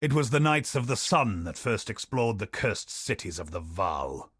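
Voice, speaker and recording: An actor playing a curious, scholarly male explorer who speaks in dry, wry asides with a measured storytelling delivery. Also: fairly low pitch, sing-song, a bit bright, husky, no room sound, exaggerated voice. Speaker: expedition companion character. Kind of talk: studio video game voice lines.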